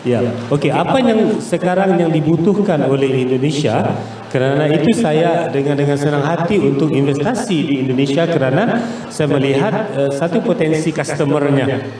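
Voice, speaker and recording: A man from Malaysia with a Malaysian accent gives explanatory interview answers as a business guest, pausing and searching for words. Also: a little high, warm tone. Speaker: business guest, investor and trade promoter. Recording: echoey, distant mic with faint noise.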